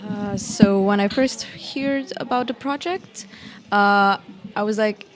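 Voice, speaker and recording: A woman's voice: mid-range voice, slow. A young woman from Croatia, speaking relaxedly in a podcast discussion and often saying "basically". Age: young adult. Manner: relaxed, says "basically" a lot. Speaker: student and youth worker.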